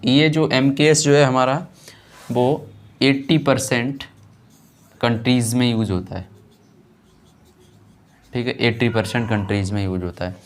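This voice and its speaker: A male teacher explains a lesson as he writes. His voice sits at a middle pitch. He sets up questions, answers them himself and repeats key terms, often checking in after a point. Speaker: physics teacher. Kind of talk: classroom lesson, explaining while writing on a board.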